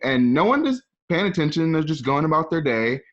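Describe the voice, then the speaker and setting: A man's voice: on the high side, big pitch swings, brisk, a bit bright, muffled recording. A man narrating scene directions informally, with casual conversational asides. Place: at home.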